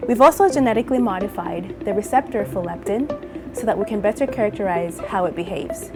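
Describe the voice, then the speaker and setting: A young woman from Zambia talks through her research in a measured, clear scripted narration. Her voice sits at an ordinary middle pitch. Music plays underneath her. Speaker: PhD researcher.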